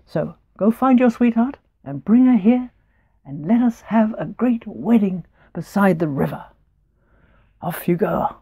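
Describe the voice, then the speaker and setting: A woman voicing a steady, old-fashioned father in a told folk tale, measured and a bit formal. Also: medium pitch, slightly rough, no echo. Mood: decisive.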